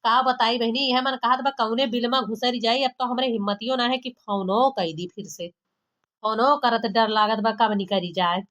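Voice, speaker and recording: Woman voicing sharp, high-voiced village daughter-in-law. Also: steady tone, moderate pace, lightly acted. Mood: embarrassed, complaining. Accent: Awadhi. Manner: dramatic complaining, colloquial. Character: exasperated, embarrassed young village daughter-in-law, gossipy and scolding. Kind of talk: amateur cartoon comedy acting.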